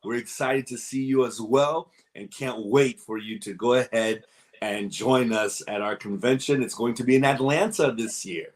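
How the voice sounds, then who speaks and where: A man's voice: mid-range voice, moderate pace. A man moderating an interview series, upbeat and effusive as he welcomes viewers and introduces his guest. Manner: upbeat, effusive, repeats "excited". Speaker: host, moderator.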